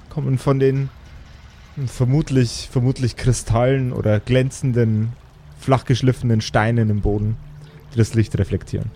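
A man narrating an improvised fantasy tabletop game as game master, in a measured, searching way. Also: medium pitch, warm tone.

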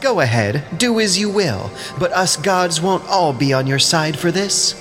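A man's voice: high-pitched, lively intonation, a little slow, voice pushed up. A man voicing a haughty, aggrieved goddess queen with pointed, theatrical indignation in an audio drama of ancient epic. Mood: indignant. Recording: music behind the voice.

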